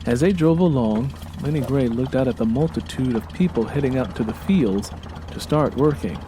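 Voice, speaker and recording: An American man narrating a dramatic story from a script in a deliberate, expressive way. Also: mid-range voice, background noise. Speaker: audiobook narrator. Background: faint music bed.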